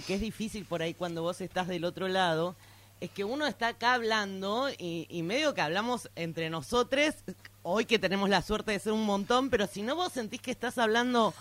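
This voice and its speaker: A woman's voice: mid-range voice, a bit bright. A woman with an Argentine accent hosts a live radio show from a studio. She talks informally, in a conversational way, to guests and listeners. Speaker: radio host.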